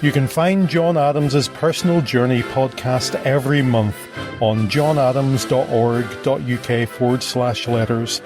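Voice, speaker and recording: A man narrating a scripted podcast promo in a measured, earnest delivery. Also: medium pitch, over music.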